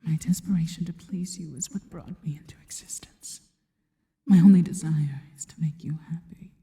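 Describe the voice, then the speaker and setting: A woman's voice: sits low, lightly acted. Woman acting a whispering, devoted spider monster girl. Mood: adoring, devoted. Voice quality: soft, breathy whisper. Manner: slow, deliberate, reverent. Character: devoted, worshipful female spider monster girl, adoring and possessive. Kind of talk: whispered intimate ASMR roleplay.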